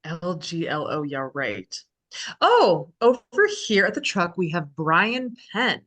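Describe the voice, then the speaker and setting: A sardonic, chipper female reality show host, performed by a woman at a script read. Her voice sits slightly low and swings widely in pitch. She presents to camera with polish and drops in sarcastic asides. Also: very animated, slow pace, fairly bright tone, exaggerated voice. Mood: sardonic, annoyed. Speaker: actress in a script table read.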